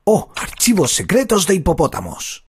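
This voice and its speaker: Cartoon hippo father: helpful, thoughtful, hugely expressive swings in pitch, music behind. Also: moderate pace. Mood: helpful, explaining. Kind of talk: children's cartoon voice acting.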